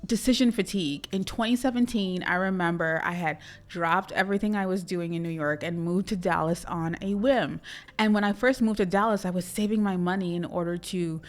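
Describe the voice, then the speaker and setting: A woman's voice: on the low side, fairly quick. A woman from Trinidad and Tobago with a Trinidadian accent, talking to camera in a casual, encouraging advice vlog for her channel. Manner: chatty, encouraging, talks straight to the viewer. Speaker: YouTuber and business coach.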